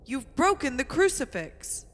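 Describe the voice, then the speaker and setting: A woman's voice: sits high, big pitch swings, a little slow. A woman playing a frightened, pleading grown daughter in a melodramatic radio horror play, earnest and dramatic. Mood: alarmed. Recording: reverberant hall with background hiss.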